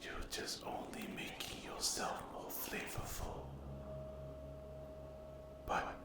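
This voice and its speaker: A man playing a menacing, hungry sleep paralysis demon in a whispered ASMR roleplay, breathy and deliberate, taunting the listener. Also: echoey room, lightly acted. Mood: hungry, savoring. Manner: very slow, deliberate, menacing, with long pauses.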